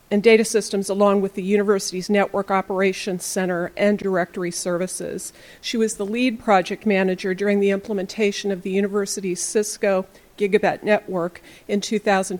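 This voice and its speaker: A woman's voice: mid-range voice, darkish tone. A woman formally introducing the speakers at a conference session, reading prepared bios.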